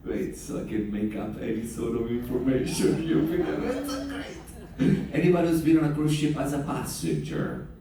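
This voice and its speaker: A man's voice: mid-range voice, moderate pace, a crowd in the background. A man working as a cruise director, telling jokes and stories to a live audience on board. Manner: joking, animated storyteller. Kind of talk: lively onboard talk to an audience. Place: large room on a cruise ship. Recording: distant, very echoey room.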